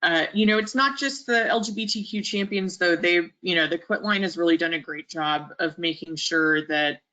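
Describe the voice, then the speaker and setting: A woman's voice: on the low side, normal speed, pushed and bright. A woman reading out audience questions hesitantly, with many fillers, over a video call. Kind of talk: webinar moderation.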